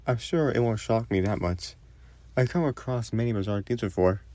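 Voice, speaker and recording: A man playing a polite, gentle young prince in a romantic fantasy audio roleplay, speaking formally and a little hesitantly. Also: on the low side, normal speed, no room sound, close to an everyday voice. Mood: polite, curious. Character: young prince, courteous, gentle, shyly curious royal.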